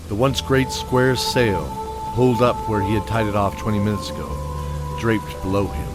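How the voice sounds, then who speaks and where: A man with a deep voice delivers scripted narration for a radio-play adventure. Prominent music and effects play behind his reading. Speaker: narrator and announcer.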